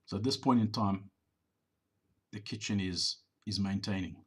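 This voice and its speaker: A middle-aged business owner giving a measured, explanatory voice-over on drive-thru footage, sometimes repeating words. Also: male voice, fairly low pitch, steady tone, breathy edge.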